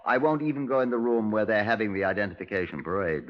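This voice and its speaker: A man's voice: lowish voice, quick pace, close to an everyday voice. A man playing a dry, firm British police inspector with clipped, polite authority in a 1950s radio drama. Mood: firm, patient. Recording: muffled 1950s radio recording.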